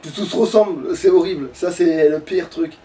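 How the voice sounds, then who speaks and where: A man's voice: a little high, moderate pace, dark tone. A man giving casual gaming commentary, grumbling and swearing to himself and at the game as he plays. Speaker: let's play streamer playing the game. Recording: distant mic with game music behind.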